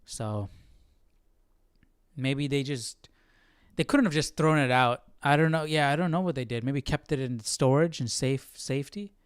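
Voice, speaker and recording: A man's voice: medium pitch, unhurried, clear, resonant tone, no echo, studio quality. A young man hosting a Bible study stream, casually thinking aloud in a hesitant, questioning way. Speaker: Bible study livestream host.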